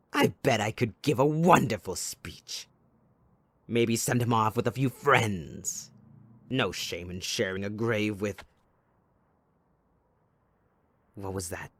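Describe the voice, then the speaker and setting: A man playing a mocking, possessive, sadistic villain in an ASMR audio roleplay, with a taunting, drawn-out theatrical delivery. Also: medium pitch, breathy edge, no echo, full character voice. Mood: mock-tender, menacing.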